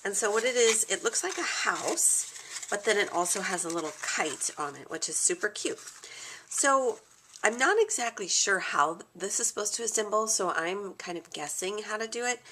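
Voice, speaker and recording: A woman's voice: mid-range voice, slight background noise. A woman hosting a casual craft tutorial. She has a cold and sounds slightly nasal, and she chats and thinks aloud as she works. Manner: chatty, thinking aloud, soft asides while working. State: stuffy nose from a cold. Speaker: craft video host.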